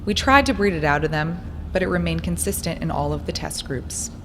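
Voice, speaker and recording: Cold scientist lady, kinda low voice, measured n exact, radio drama w noisy background. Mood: clinical, instructive. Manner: measured, detached, precise. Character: cold, clinical female research scientist, coaxing and commanding. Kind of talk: audio drama acting.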